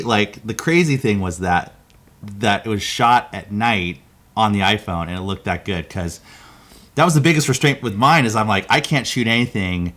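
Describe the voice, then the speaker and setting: A man's voice: on the low side, fairly bright tone. A man who makes videos, chatting casually with a friend in an enthusiastic, informal way and often repeating himself. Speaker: video creator, challenge contestant. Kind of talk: casual chat between friends.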